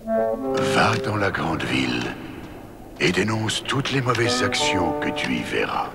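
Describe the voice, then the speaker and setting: An actor voicing God in a cartoon, solemn and commanding, with stern authority. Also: male voice, low voice, sing-song, takes it slowly, full character voice. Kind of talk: animated cartoon dubbing. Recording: loud brassy music behind the voice.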